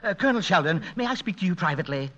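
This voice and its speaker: An actor playing an eager, grasping small-town businessman, excitable and insistent, in a vintage radio comedy. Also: high-pitched, very fast. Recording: dull vintage radio recording.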